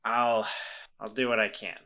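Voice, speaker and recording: A man playing a tabletop roleplaying game, talking in a hesitant, halting way full of restarts. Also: lowish voice, level tone, dull audio. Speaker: player.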